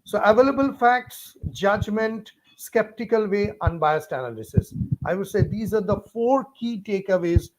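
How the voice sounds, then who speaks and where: A man's voice: sits high, animated, normal speed, low-quality recording. A man with an Indian English accent teaching a live online class, stressing points over and over and talking straight to viewers by name. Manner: emphatic, repetitive, talks straight to viewers by name. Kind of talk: live-streamed lecture.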